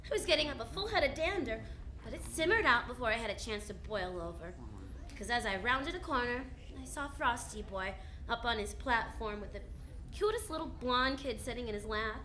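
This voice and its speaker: A woman's voice: a little high, animated, brisk, sharp tone, a little hiss or hum. A woman playing a wisecracking female private detective in a 1940s-style radio drama, with slangy, wry delivery. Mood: wry, narrating.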